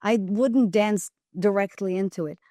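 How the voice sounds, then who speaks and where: A woman's voice: medium pitch, unhurried, mellow, no room sound, studio quality. A woman with an Israeli accent, a guest on an interview podcast, who speaks emphatically and repeats phrases for weight.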